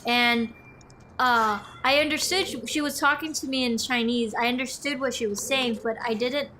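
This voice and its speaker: A woman's voice: fairly high pitch, fairly even intonation, moderate pace, pushed and bright, a little hiss or hum. A young woman co-hosting a gaming show, telling a long story in a casual, meandering way with many restarts. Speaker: co-host of a gaming channel. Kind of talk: casual chat over gameplay.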